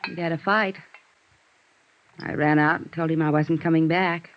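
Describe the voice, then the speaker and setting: A dull vintage radio recording carries a bitter, guarded widow. Her voice has a smoky creak, and she confides in a weary, wistful, halting way, in the manner of 1950s radio noir. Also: sits low, fairly even intonation, a little hiss or hum. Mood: wistful, numb. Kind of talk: 1950s radio drama acting.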